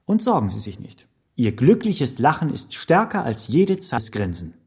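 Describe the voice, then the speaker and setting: An actor playing a pushy motivational trainer in a radio play, selling begging as a business in slogans, with a husky voice. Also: male voice, mid-range voice, huge pitch swings, normal speed, a little mellow, heavily acted. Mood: exhorting. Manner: sloganeering, salesman-like. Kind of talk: radio play sales-pitch monologue.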